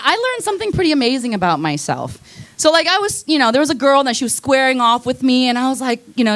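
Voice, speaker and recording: A woman's voice: high-pitched, a bit bright. A young woman performing a stand-up set in a comedy club, rambling, swearing casually and laughing at her own slips. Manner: rambling, self-deprecating, loses her place and laughs at herself.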